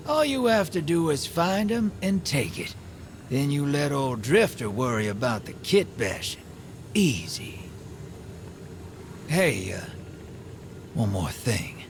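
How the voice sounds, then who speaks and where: An actor playing a sly, world-weary gunslinger rogue in a video game, with folksy, drawling slang. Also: male voice, on the high side, slight background noise. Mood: breezy, scheming. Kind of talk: video game character dialogue.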